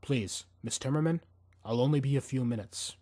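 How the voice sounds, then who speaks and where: A man's voice: medium pitch, moderate pace, mellow, lightly acted. Man voicing a polite, persistent young man. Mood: politely insistent. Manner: courteous, insistent, pleading. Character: polite, persistent young male assassin posing as reporter. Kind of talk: audiobook character dialogue.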